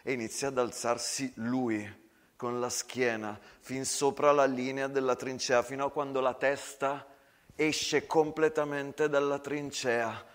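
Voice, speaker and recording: A male actor narrates a dramatic story. He keeps his delivery hushed and measured. Each short phrase is set down carefully to hold suspense. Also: mid-range voice, flat delivery.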